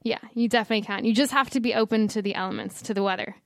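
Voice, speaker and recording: Smooth-voiced Dublin woman, chatty. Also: medium pitch, very fast. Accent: Irish, Dublin. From Ireland. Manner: chatty, warm storytelling with fillers. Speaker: guest. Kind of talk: casual interview chat.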